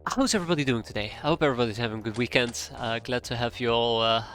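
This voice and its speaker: A man in his thirties talks through a live strategy game stream, stuffy-nosed with a cold. His voice is bright and forceful, sitting at an ordinary pitch for a man. He thinks aloud and wanders off track, with soft game music under him. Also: racing. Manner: rambling, thinking aloud, easily sidetracked. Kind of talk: live gameplay commentary, chatting with viewers.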